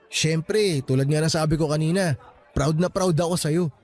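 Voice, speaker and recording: A man's voice: fairly high pitch, normal speed, darkish tone, lightly acted. A man playing a young fresh graduate, a supportive and teasing best friend, in an acted radio love story. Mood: encouraging.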